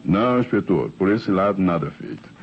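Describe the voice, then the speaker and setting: Low-voiced, defensive married man, animated delivery. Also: normal speed. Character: defensive married man, neighbour who saw a flying saucer. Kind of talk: old radio drama acting. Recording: muffled, faintly noisy old radio recording.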